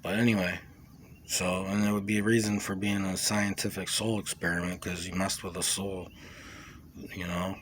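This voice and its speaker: A man recording an informal, rambling personal testimony, speaking in a halting, reflective way with repeated words and trailing asides. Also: low-pitched, level tone, a little hiss or hum. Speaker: vlogger sharing a personal testimony. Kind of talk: rambling, informal monologue recounting personal experiences.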